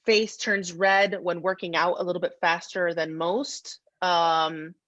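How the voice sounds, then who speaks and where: A woman's voice: lowish voice, level tone, measured pace, fairly bright tone, no echo. A woman hosting a podcast, chatting casually and putting questions to the others. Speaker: podcast host. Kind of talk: casual chat, asking questions. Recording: close, dry, slightly dull audio.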